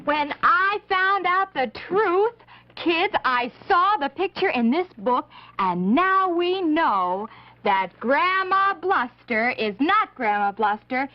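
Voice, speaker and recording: A woman's voice: high-pitched, very animated, slow pace, heavily acted. A woman playing an earnest young princess on a vintage children's puppet show, speaking in an instructive way. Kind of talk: 1950s children's TV acting. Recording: muffled vintage TV broadcast audio.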